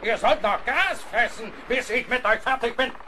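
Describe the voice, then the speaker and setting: A furious, tyrannical ship captain in a radio play. His voice sits very high and barks out menacing commands. Shipboard noise runs clearly behind him. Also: moderate pace. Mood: furious, threatening. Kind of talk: German radio play acting.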